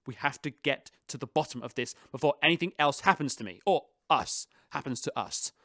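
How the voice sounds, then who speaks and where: A man's voice: mid-range voice, fairly quick, pushed and bright. An actor playing a flustered, pompous male spaceship captain in a sci-fi radio drama, with a theatrical manner. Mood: indignant, alarmed.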